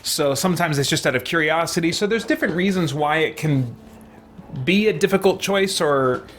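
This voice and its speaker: A man's voice: a little high, normal speed, a bit bright. A man hosting a game let's-play, chatting casually and reflectively to viewers, thinking aloud in hesitant, looping, qualified sentences. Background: background music.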